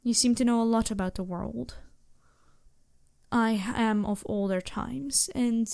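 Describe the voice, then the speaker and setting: Woman voicing a high-voiced, regal demon princess. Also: creaky, darkish tone, clear, resonant tone. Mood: gracious, flirty. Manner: formal, measured, slightly stilted phrasing. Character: female demon princess, regal, empathetic, teasing and flirtatious. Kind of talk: ASMR roleplay addressed to the listener.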